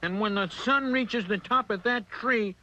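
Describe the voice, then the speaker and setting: A man's voice: high voice, a little slow, muffled recording. A man voicing a small, cocky, scheming male turtle with a wheedling manner in a cartoon story.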